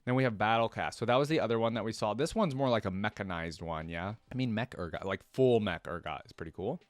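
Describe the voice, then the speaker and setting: Guy doing casual fan commentary, kinda low voice, rambly, thinking out loud, really dry recording. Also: fast, dry recording. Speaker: reaction video host, fan commentator. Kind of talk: casual unscripted reaction commentary to viewers.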